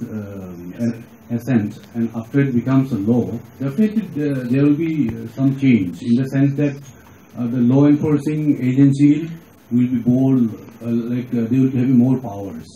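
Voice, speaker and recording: Casting: middle-aged male panelist, Indian accent, extremely soft-edged dark tone, deliberate, in an echoey hall. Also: mid-range voice. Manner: halting, deliberate. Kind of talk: explanatory panel remarks. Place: auditorium, seated at panel table. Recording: dull, noisy, echoey hall recording.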